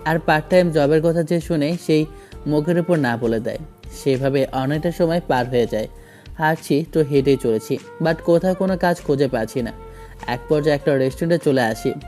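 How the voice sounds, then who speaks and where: A man's voice: fairly high pitch, fairly quick, darkish tone, faint music behind. A man narrating a first-person romantic story in a dramatic scripted style.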